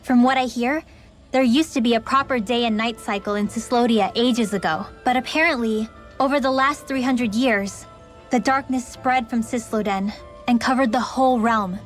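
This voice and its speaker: Young female mage actress, higher register, gentle, earnest explainer, anime RPG, faint score behind. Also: normal speed, faint music behind, natural voice. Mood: informative. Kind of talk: English-dubbed anime game voice acting.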